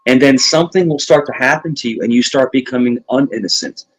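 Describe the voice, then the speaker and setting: A man's voice: mid-range voice, fast, low-quality recording. A man from New York co-hosting a casual talk show, earnest and opinionated, often repeating himself. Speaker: co-host.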